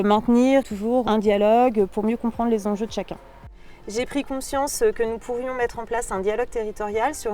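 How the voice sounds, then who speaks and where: A woman giving a prepared, measured testimonial as a programme coordinator. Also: fairly high pitch, mellow, recorded from a distance. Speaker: local water and agriculture programme coordinator.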